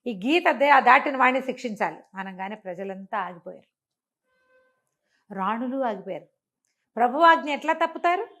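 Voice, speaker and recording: Animated woman storyteller. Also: high voice. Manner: conversational, acts out dialogue, asks rhetorical questions. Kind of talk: animated oral telling of a moral folk tale.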